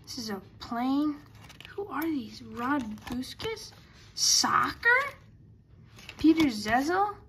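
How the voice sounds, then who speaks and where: A woman with a high, light voice talks casually while sorting trading cards at a table. She is chatty and often trips over hard player names. A faint hum sits quietly behind her. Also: expressive, takes it slowly, pauses often. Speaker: card collector. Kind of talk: casual card-opening commentary. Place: indoors at a table.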